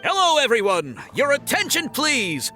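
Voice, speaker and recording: An actor voicing an excited tournament announcer in an animated game, loud and showy, projecting to a crowd. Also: male voice, very high voice, moderate pace, music in the background, heavily acted.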